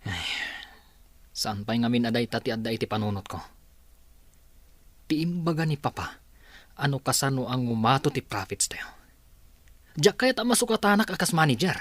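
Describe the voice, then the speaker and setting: A man's voice: mid-range voice, sing-song, moderate pace, pauses often, dry recording. A man acting as a demanding young rich heir in an Ilocano radio drama, with an Ilocano accent. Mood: worried.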